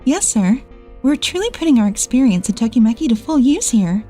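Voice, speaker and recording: A woman's voice: fairly high pitch, very animated, fairly quick, mellow, breathy edge, faint music behind. A woman voicing assertive office women in a dubbed comic drama. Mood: composed. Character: bitter, bossy female ex-boss, and calm capable female employee.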